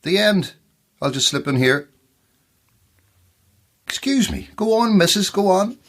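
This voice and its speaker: An actor playing a cheeky, chatty man in an audio drama, with a husky voice and a teasing manner. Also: high-pitched, expressive, measured pace, a bit bright, full character voice.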